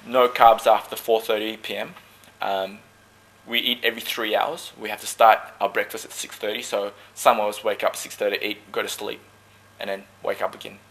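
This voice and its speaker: A man from Malaysia who talks about his team sport in relaxed, joking interview answers. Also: fairly low pitch, moderate pace, gravelly, rough recording. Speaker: dragon boat paddler.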